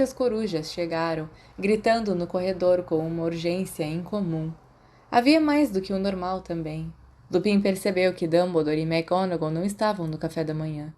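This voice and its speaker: Female audiobook narrator, Brazilian accent, slightly low register, scripted fiction reading. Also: darkish tone.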